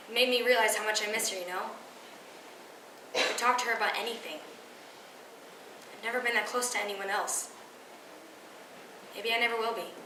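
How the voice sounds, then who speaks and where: A woman's voice: on the high side, lightly acted. A young teenage girl performing a stage monologue as a frustrated, vulnerable teen confiding emphatically in a friend. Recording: roomy, distant, noisy live recording.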